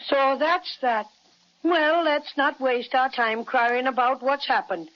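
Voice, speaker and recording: A woman voicing a small, plucky bear in an old-time children's radio serial, brisk, cheery and bossy. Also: high-pitched. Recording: dull, noisy old radio recording.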